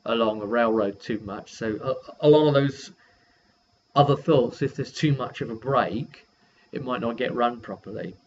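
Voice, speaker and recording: A man giving a casual, rambling recap as a game master and video host, halting and often correcting himself mid-sentence. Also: mid-range voice. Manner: halting, self-correcting delivery. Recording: dull lo-fi sound with faint noise.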